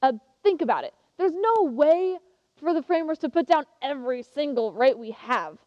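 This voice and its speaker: A woman's voice: sits high, animated, normal speed, a little mellow. A woman playing an eager, passionate teenage girl giving an earnest, emphatic contest speech in a theatrical monologue. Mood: earnest, excited. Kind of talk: theatrical monologue as prepared oration. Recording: muffled, reverberant hall recording.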